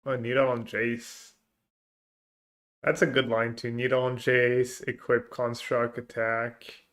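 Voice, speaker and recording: A man's voice: medium pitch, level tone, slow pace, a bit bright. A man live-streaming a card game, chatting casually with viewers and thinking his plays aloud. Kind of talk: live gameplay commentary, reading chat.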